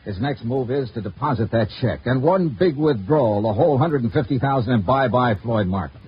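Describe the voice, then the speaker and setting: An actor playing a shrewd, dogged insurance investigator in a 1950s radio noir drama, terse and matter-of-fact. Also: male voice, mid-range voice, expressive, fairly quick, husky. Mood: grim, insistent. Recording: muffled vintage radio recording with music.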